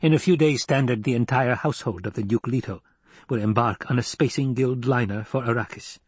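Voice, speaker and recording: A man's voice: a little fast, husky, dry recording, close to an everyday voice. A man voicing a clever, supercilious male adviser in an audiobook, laying out schemes in precise, formal speech. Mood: coolly analytical. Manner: precise, formal, higher-pitched exposition. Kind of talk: audiobook character acting.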